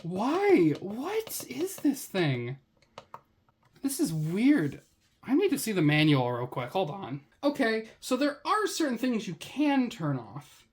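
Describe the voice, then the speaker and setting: A woman's voice: medium pitch, huge pitch swings, takes it slowly, a little mellow, slightly rough. A woman reviewing a gadget in a casual unboxing commentary, with a sarcastic, wry way of talking.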